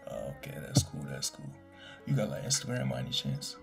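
A man in his twenties from Chicago, chatting smoothly and flirting with strangers on a video chat, full of slang. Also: very deep voice, sing-song, brisk, faint music behind. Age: 20s. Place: small bedroom with red lights.

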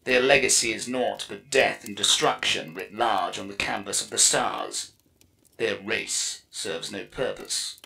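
A man voicing a haughty, scornful alien female warrior in a measured, disdainful declamation, with a voice effect, for an audiobook. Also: on the low side, creaky, sharp tone, exaggerated voice, poor audio. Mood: cold contempt. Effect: voice effect on dialogue.

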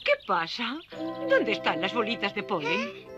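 A woman's voice: mid-range voice, huge pitch swings, a little slow, muffled recording. A woman voicing a haughty, irritable queen bee in a dubbed children's cartoon, fussy and scolding. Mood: skeptical. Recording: loud music behind the voice.

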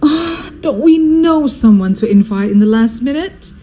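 A woman's voice: fairly high pitch, lively intonation, measured pace, dark tone, a little hiss or hum. A woman playing a snobbish, fussy, domineering society mother in a radio drama, with a theatrical, haughty manner. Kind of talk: stage-like radio drama acting.

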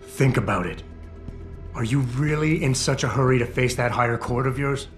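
An actor playing a tough, blunt cyborg agent in a video game, speaking in a terse, stern, controlled way. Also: male voice, medium pitch, normal speed, soft background music, lightly acted. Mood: firm, persuading. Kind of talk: video game cutscene acting.

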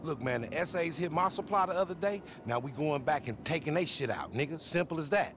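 A man's voice: on the high side, lively intonation, fairly quick, background noise, close to an everyday voice. A man playing a boastful, foul-mouthed young hustler in a video game cutscene, with an American accent and slangy, cajoling banter. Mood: indignant, preachy.

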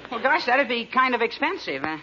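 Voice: mid-range voice, big pitch swings, brisk, a crowd in the background, lightly acted. An actor playing an awkward, eager eighteen-year-old American boy in a 1940s radio comedy, gawky and hesitant. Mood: flustered. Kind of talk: 1940s radio sitcom acting. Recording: muffled 1940s radio with studio audience.

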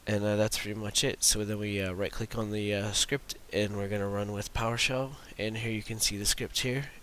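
Casting: male tutorial narrator, low and crisp-edged, informal and unhurried, casual screen-demo delivery. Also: little pitch movement, fairly bright tone. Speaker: tutorial presenter demonstrating own script. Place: voice-over for a screen recording. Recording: hissy voice-over recording.

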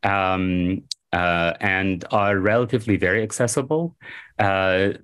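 A man's voice: low-pitched, measured pace, a bit bright, clear, resonant tone. A man in his forties whose first language is Arabic, answering interview questions over a video call in a rambling way, with many fillers, self-corrections and chuckles. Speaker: historian guest. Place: home room by a window.

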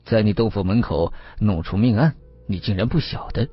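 A man's voice: on the low side, animated, moderate pace, breathy edge, lo-fi sound, soft background music. A man playing a stern, sly Taoist who challenges and interrogates, in an audiobook. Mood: accusing. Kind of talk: audiobook character acting.

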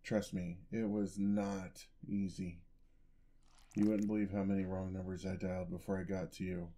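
A man playing a gentle, sheepish, affectionate headless horseman boyfriend in a soft-spoken ASMR roleplay, with hesitant delivery. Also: deep voice, flat delivery, moderate pace. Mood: mildly exasperated. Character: gentle, clumsy male dullahan boyfriend, centuries old. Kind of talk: ASMR roleplay, intimate acted monologue.

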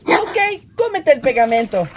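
A woman-played little boy cat with a very high, wildly swooping voice and a Latin American accent. He is pushy and grandiose, a tiny cartoon scientist talking over faint background noise. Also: sing-song, a little slow. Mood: exasperated, coaxing. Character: hyper, bossy little boy cat, adventurous amateur scientist. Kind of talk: animated comic fandub.

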